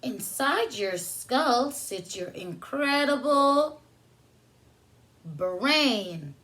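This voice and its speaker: Lady preschool teacher reading a kids book, slow n animated, stops to explain and ask little questions. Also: medium pitch. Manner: instructional, asks questions and pauses for answers. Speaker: preschool teacher hosting story time. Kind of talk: read-aloud story time for young children with teaching asides.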